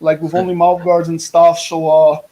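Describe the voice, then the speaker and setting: A man's voice: on the high side, level tone, moderate pace, warm tone. A Polish man with a mid-Atlantic-tinged accent, an American football coach speaking energetically over a video call, often restarting his words. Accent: Polish with a mid-Atlantic English lilt. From Poland. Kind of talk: guest presentation on a video call.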